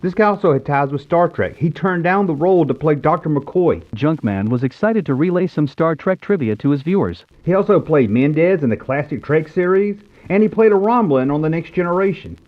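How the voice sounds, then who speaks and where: A man's voice: fairly high pitch, expressive, a little fast. An American man in his fifties narrating nostalgically in a scripted storybook style. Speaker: YouTube show host. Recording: tinny phone-like narrow-band sound.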